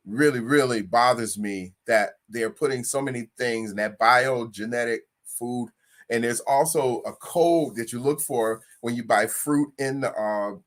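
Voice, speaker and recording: A man of sixty hosting a talk, speaking conversationally, repeating phrases and rising in pitch to stress points. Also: a bit bright. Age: 60s. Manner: conversational, repeats himself, rises in pitch for emphasis. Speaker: host. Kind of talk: motivational talk.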